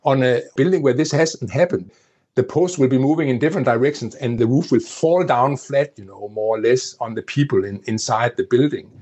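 A man's voice: mid-range voice, brisk, darkish tone, breathy edge. A man with a Danish accent, a native Danish speaker, explaining things at length as an interview guest, often restarting his sentences. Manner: explanatory, with frequent restarts and "you know". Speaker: interview guest, former project planner. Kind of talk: conversational interview.

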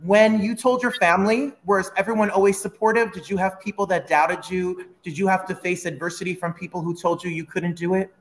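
A man's voice: sits high, steady tone, fairly quick, hardly any pauses. A man, a musical theatre teacher, interviewing a guest for his students, encouraging and explaining terms as he goes. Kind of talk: classroom interview.

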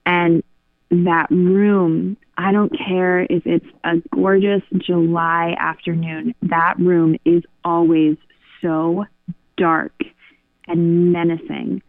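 A woman's voice: lowish voice, level tone, slow, no room sound. A woman caller telling a story casually over a phone line. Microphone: phone call. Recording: narrow tinny phone line.

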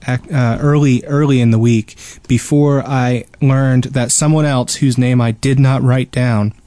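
A man's voice: mid-range voice, darkish tone, no room sound. A man co-hosting a podcast, joking casually in a self-deprecating, mock-serious way. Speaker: podcast co-host.

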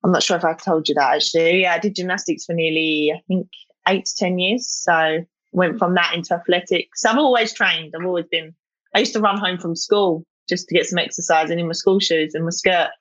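A woman's voice: on the low side, brisk, a bit bright, no echo, highs cut off. A young woman with a South East London accent, chatting casually as an interview guest, full of fillers and restarts. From England.

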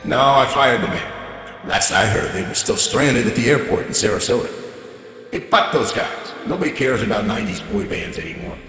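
A man's voice: mid-range voice, lively intonation, normal speed, a bit bright, echoey room, long reverb tail, faint music behind, natural voice. An actor playing a greedy, foul-mouthed male corporate CEO giving a bombastic holiday speech in an audio drama. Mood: dismissive. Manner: bombastic, profane, dismissive. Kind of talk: audio drama comedy monologue.

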